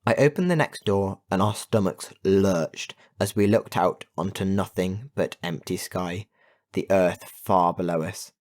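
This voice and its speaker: A man reading a children's adventure story as an audiobook narrator, in an expressive storytelling manner. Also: deep voice, measured pace. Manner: expressive storytelling with put-on character voices.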